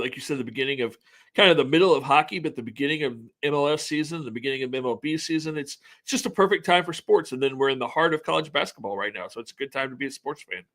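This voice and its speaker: A man co-hosts a casual sports talk show, speaking fast in a relaxed, easy way. He often restarts the beginning of his sentences, at a mid-range pitch. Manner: quick, relaxed, repeats openings.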